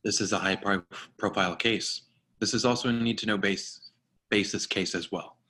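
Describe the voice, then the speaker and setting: An actor playing a stern, commanding agency boss with a firm, authoritative manner, in an online screenplay reading. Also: male voice, on the low side, fairly even intonation, moderate pace, bright, forceful tone. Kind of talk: online screenplay table read.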